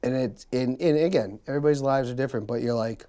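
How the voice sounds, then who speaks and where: A man's voice: mid-range voice, fast, dark tone. A man hosting a podcast, chatting casually with his guest, rambling with lots of fillers and restarts. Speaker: podcast host. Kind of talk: casual interview chat.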